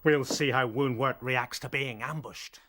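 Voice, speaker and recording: A man's voice: medium pitch, steady tone, a little slow, pushed and bright, a little hiss or hum. A man playing a tough, defiant rabbit fighter in a radio drama, blunt and determined. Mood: resolute, planning. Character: tough, defiant male rabbit fighter defending his warren.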